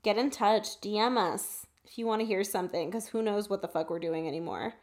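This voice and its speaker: Female podcast co-host for loose, chatty movie talk; mid-pitched, informal, swears casually. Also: a little fast, a little mellow. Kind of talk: casual film discussion podcast chat.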